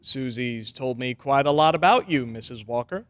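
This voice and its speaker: A man plays a genial, earnest bachelor lawyer in old radio drama. His voice is medium in pitch, and he is friendly with slight hesitation. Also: animated, normal speed, close to an everyday voice.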